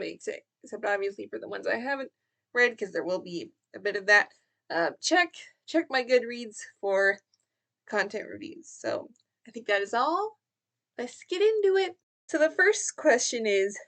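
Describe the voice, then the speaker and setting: Casting: female book vlogger, higher-pitched voice, informal and chatty review delivery, hesitant phrasing that tends to trail off. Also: fairly bright tone.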